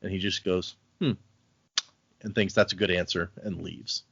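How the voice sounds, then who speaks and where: A man's voice: sits low, fairly even intonation, gravelly, fairly bright tone, slightly rough, no echo, dull audio. A man hosting a casual book podcast, recapping chapters and briefly voicing the characters' lines. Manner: recaps chapters, acting out lines in quick voices. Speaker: podcast host.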